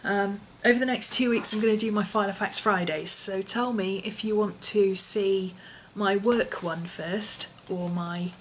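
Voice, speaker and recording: A woman, her voice hoarse and husky from an infection, chatting casually in a vlog with lots of ums and small laughs. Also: mid-range voice, steady tone, a little slow, hardly any pauses, slight background noise, rough recording. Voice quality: husky, often whispery. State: hoarse, voice partly lost from infection. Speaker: vlogger.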